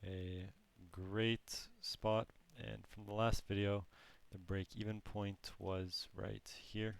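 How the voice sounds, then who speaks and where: A hoarse man with a low, gravelly voice teaches a trading lesson. He talks very slowly and haltingly and often restarts his sentences, sounding strained from losing his voice. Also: little pitch movement. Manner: slow, halting explanation with frequent restarts. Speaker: trading course instructor. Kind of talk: screen-recorded trading lesson.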